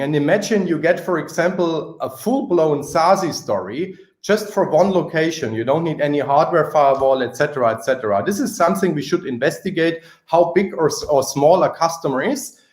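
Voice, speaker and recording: A man presenting a sales pitch in an emphatic, persuasive manner. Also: lively intonation, normal speed, few pauses, darkish tone. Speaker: company presenter. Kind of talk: sales pitch and question answering. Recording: roomy, echoing sound.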